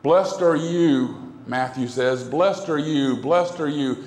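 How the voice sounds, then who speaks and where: A man's voice: on the high side, slow pace, a little mellow. A middle-aged man preaching a sermon at a pulpit microphone in a church, earnest and repetitive, with broad gestures. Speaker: pastor. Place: church sanctuary, indoors. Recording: echoey hall from a far camera.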